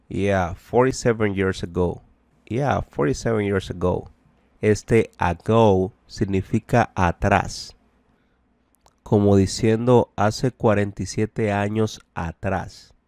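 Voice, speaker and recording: A man with a fairly low voice gives a bilingual language lesson. He speaks very slowly and deliberately, translating and repeating each phrase. The sound is dry, with little room around it. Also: darkish tone, no echo. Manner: deliberate, repetitive, explanatory. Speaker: English teacher.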